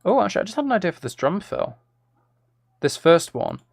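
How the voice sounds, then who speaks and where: A man's voice: high voice, very animated, fairly quick, creaky. A man streaming casually while making music, thinking aloud to himself and often trailing off mid-thought. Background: synth and drum loops from music software, clicking.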